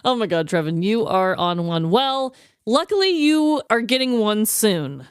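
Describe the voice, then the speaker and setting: Female podcast co-host, smooth clear voice, playful and animated, casual comedic chat, dry close sound. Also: medium pitch, a little slow, no room sound.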